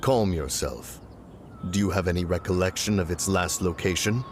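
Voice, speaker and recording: A man voicing a calm, formal and curious ancient sage in a game. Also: low voice, moderate pace, noisy background, lightly acted. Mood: measured. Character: pompous ancient male adeptus, calm and inquisitive. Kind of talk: game voice acting.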